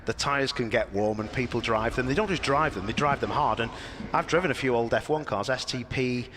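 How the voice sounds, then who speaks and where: A man's voice: medium pitch, a little fast, a crowd in the background. A man from near Liverpool with a Liverpool accent, chatting casually as a motorsport presenter on a live stream, self-deprecating and full of fillers. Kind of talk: casual live commentary chat.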